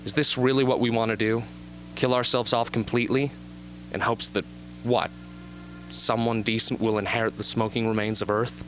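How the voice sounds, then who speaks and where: A man playing a young, haunted but earnest arena survivor with intense, deliberate delivery in an amateur radio drama. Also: medium pitch, little pitch movement, a bit bright, soft background music, lightly acted. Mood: urgent, pleading. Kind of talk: amateur radio drama acting, interview scene.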